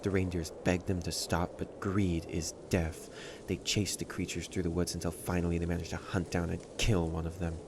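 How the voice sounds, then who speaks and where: A low-pitched, proud stray catboy, acted by a man speaks straight to the listener in an emotional audio roleplay. He is haughty and halting, a defensive tsundere front over loneliness. Clear noise sits behind the voice. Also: level tone. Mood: wistful storytelling.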